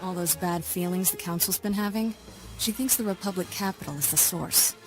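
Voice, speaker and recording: Actress as a wry Padawan girl, lowish, teasing, music behind. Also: brisk, close to an everyday voice, poor audio. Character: cocky young woman Padawan, teasing.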